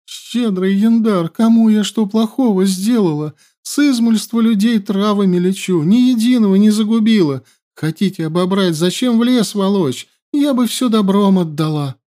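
A man's voice: sits high, moderate pace, few pauses, mellow, voice pushed up, lightly acted. A man voicing a cunning young woman herbalist who plays the helpless captive with a whiny, pleading voice.

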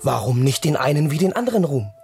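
A young Prussian crown prince in a radio drama. He is proud and defiant, declaiming theatrically in a fairly high young male voice. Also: very animated, fast, gravelly, a little mellow, faint music behind. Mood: dreamy, ambitious. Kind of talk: German radio drama acting.

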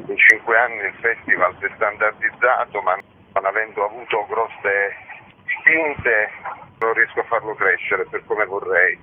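A man's voice: fairly low pitch, unhurried, slight background noise. A man giving a conversational radio interview as a festival organiser, talking in a discursive, frank, matter-of-fact way. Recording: muffled, lo-fi radio interview audio.

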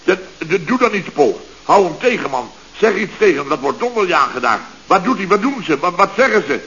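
An actor playing a flustered middle-aged contractor boss in a radio comedy, stammering, exclaiming and turning pompously polite. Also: male voice, high-pitched, sing-song, a little fast, fairly bright tone, full character voice. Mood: exasperated. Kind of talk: Dutch radio comedy acting. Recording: dull, noisy old radio recording.

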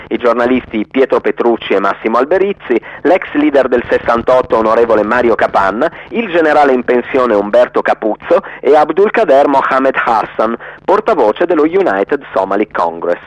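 A man's voice: medium pitch, lively intonation, moderate pace, few pauses, no room sound, muffled recording. A man reading literary narration dramatically as the narrator of a radio theatre piece.

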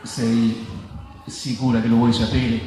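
A man playing a stubborn, evasive boyfriend in a theatrical comic dialogue, defensive and deadpan as he argues. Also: mid-range voice, slow, voice pushed down, close to an everyday voice. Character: stubborn, evasive, self-centred boyfriend, baffled by his partner. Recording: echoey, reverberant stage with faint noise.

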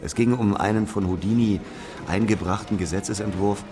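Casting: male actor for insistent, accusing interrogator, very low register, radio play, long reverb. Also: a little fast, natural voice. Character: hard, relentless male interrogator confronting an aged knighted author. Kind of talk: German radio drama acting. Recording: long reverb with music behind.

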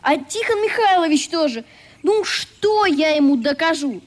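A high-voiced schoolboy in a radio drama, with lively, swinging intonation. He is frank and artless, earnest and a little hurt, and the sound carries a long roomy reverb. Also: a little slow. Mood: defensive, hurt. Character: earnest, hurt schoolboy who fled summer camp. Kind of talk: radio play acting.

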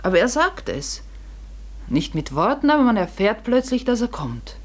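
An actress playing a middle-aged farmer's wife in a German radio drama, anxious and secretive, with a husky, hushed and halting delivery. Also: female voice, fairly high pitch, sing-song. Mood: guilty, grave.

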